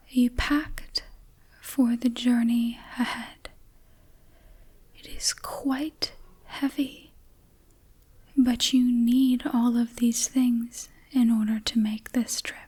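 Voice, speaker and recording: A woman whispers a guided meditation in a very breathy, airy voice. She goes extremely slowly, with long pauses between short phrases. Her delivery is soothing and stays on an even, narrow line of pitch. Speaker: ASMR host, meditation guide.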